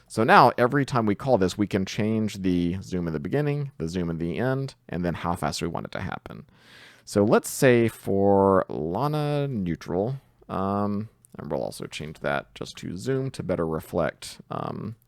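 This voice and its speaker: Guy doing a laid-back coding walkthrough, low creaky voice, close dry mic, explains it step by step. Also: no echo. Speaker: programming tutor. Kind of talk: casual screen-recorded coding tutorial.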